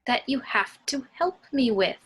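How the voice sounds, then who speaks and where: A woman's voice: fairly high pitch, animated, a little slow, fairly bright tone, slightly rough, exaggerated voice. A woman reading a tense, insistent morgue doctor in an amateur film table read, urgent in manner. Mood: exasperated.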